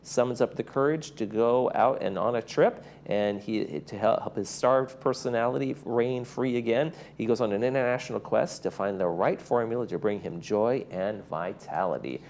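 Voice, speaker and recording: A man hosts a TV program in a casual presenting style. His voice has plenty of fry, and there is faint noise behind him. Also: medium pitch, moderate pace, few pauses, a little mellow. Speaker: TV show host. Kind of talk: casual presenting, reading a film synopsis.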